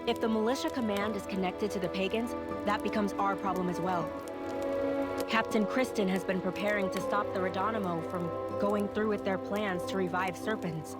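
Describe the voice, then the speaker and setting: A woman's voice: mid-range voice, fairly even intonation, normal speed, natural voice. A woman playing a composed, dutiful ally in a fantasy video game cutscene. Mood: resolute. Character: composed, dutiful female rune hunter ally. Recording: very loud music behind.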